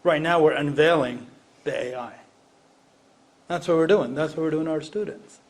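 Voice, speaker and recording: Man, higher pitch, from Iraq, panel discussion, emphatic repetition, rhetorical questions. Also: moderate pace. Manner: emphatic, repeats phrases and poses rhetorical questions. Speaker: education panelist, former science teacher. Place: live panel event.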